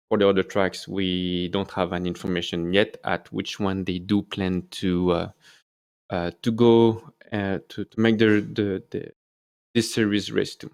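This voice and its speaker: A man's voice: lowish voice, a little mellow, clear, resonant tone. A man with a French accent, a native French speaker, hosting a casual solo video and talking hesitantly with many fillers and self-corrections. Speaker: YouTube host.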